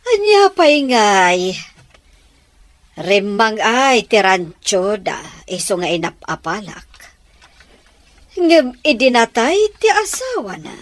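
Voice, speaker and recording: A woman's voice: medium pitch, very animated, slow pace, long pauses, dry recording. A woman playing a scheming, manipulative middle-aged mother in a radio drama, drawling insinuatingly with an Ilocano accent. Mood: smug, scheming.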